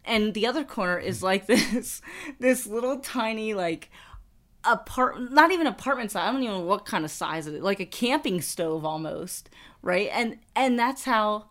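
A woman's voice: mid-range voice, expressive, normal speed, a bit bright. A middle-aged woman co-hosting a podcast, chatting casually, laughing often and restarting her sentences. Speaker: podcast co-host. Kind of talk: casual chat.

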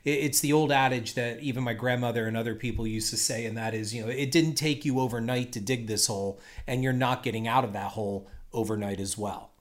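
A man co-hosting a casual podcast, talking in a measured way and explaining at length with repeated starts. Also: mid-range voice, fairly even intonation. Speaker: podcast co-host.

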